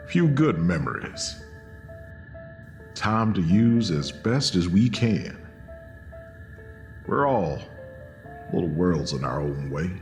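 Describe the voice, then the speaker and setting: An actor playing a gentle, hopeful, philosophical man in an audio drama, speaking tenderly and reflectively. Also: low voice, full character voice.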